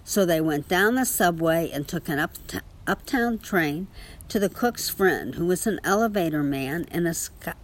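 Lower-voiced woman reading a children's story. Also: normal speed, low-quality recording. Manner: expressive storytelling with small spoken asides. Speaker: story reader. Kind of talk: children's picture book read aloud.

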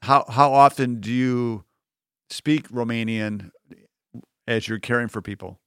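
A man hosting a podcast, asking friendly, measured questions. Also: medium pitch, fairly bright tone, smooth, dry recording, clean studio sound. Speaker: podcast host. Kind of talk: podcast interview.

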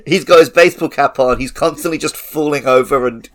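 A man chatting casually as a podcast host, telling stories in an animated, laughter-prone way. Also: a little high, a little fast. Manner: animated storytelling with frequent laughter. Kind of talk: casual chat.

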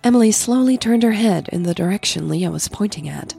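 A woman podcast host telling a story in a slow, suspenseful, intimate manner. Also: mid-range voice, expressive, creaky, warm tone, husky, dry recording, soft background music. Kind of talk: dramatic audio story narration and podcast chat.

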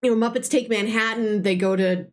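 A woman's voice: fairly high pitch, fairly even intonation, brisk. A woman hosting a podcast, chatting casually and effusively recommending films she loves. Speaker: podcast host. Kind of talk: casual film discussion chat.

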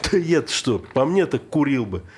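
An actor playing an irritable, plain-spoken male hospital patient, chatty and gruffly familiar, in a stage drama. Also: mid-range voice, big pitch swings, moderate pace, darkish tone. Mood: exasperated. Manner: colloquial, gruffly familiar, exasperated. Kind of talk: naturalistic stage drama acting.

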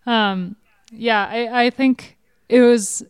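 Enthusiastic woman co-host, very clear, dry close recording. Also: fairly high pitch, fairly even intonation, slow, no echo. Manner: enthusiastic, chatty, lots of "like". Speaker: podcast co-host. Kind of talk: casual cycling chat.

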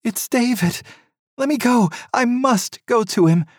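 Man doing a frantic grieving mother, high and pleading. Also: very animated, takes it slowly, darkish tone, breathy edge, no room sound, studio quality, exaggerated voice. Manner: pleading, sobbing, desperate. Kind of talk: audiobook reading.

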